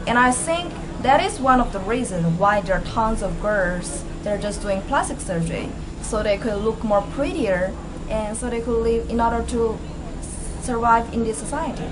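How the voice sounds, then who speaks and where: A woman's voice: a little high, noisy background. A young woman whose first language is Chinese speaks English in a blunt, candid opinion vlog, sounding tired. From ethnically Korean. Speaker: vlogger. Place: small indoor room, plain wall.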